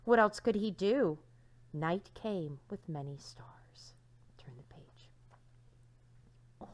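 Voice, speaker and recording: Expressive woman reading a storybook aloud. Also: lowish voice, takes it slowly. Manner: expressive, dramatic emphasis with hushed line endings. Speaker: teacher reading aloud to young children.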